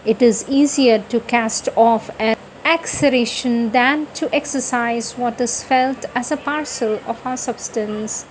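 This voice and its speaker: A woman reading spiritual texts aloud in a deliberate, devotional style, phrase by phrase. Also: on the high side, a little hiss or hum, low-quality recording. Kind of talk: slow devotional reading of scripture.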